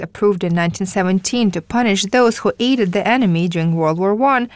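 A female newsreader delivering a radio news report in a measured, formal way. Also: medium pitch, no room sound.

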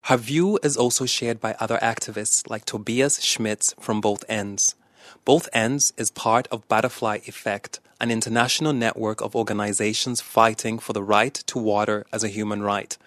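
A man's voice: fairly low pitch, fairly even intonation, normal speed, fairly bright tone, no room sound. A man narrating a scripted radio news report in a studio. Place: dry studio.

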